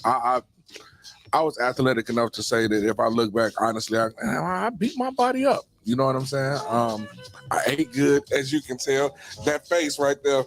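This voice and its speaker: Man, forties, self-deprecating humor, casual panel chat, mid pitch, faint music behind. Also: moderate pace. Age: 40s. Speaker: co-host.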